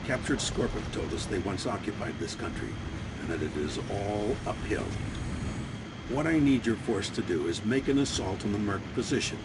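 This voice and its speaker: An actor playing a grim military commander, giving a formal, authoritative mission briefing in a video game. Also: male voice, on the low side, lightly acted. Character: grim male military commander briefing troops before battle. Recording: clearly noisy 1990s game audio.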